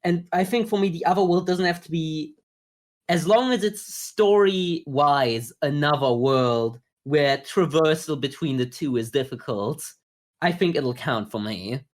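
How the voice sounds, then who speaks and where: A man co-hosting a podcast, talking through his views in a measured, explanatory way in a casual review discussion. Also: a little high. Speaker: podcast co-host.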